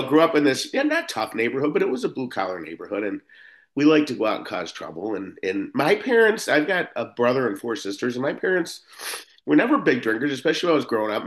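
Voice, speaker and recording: A man in his fifties from Buffalo, New York, sharing his story as a speaker at a recovery meeting in a rambling way, with many restarts and fillers. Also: medium pitch. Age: 50s. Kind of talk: personal recovery talk.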